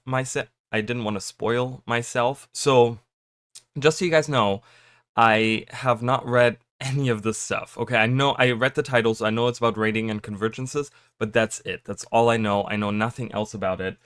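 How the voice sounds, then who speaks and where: A man talking casually to his viewers, rambling with lots of fillers and restarts, his voice a little raspy from just waking up. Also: medium pitch, moderate pace, fairly bright tone, no room sound, studio quality. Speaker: gaming YouTuber. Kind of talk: casual chat to camera.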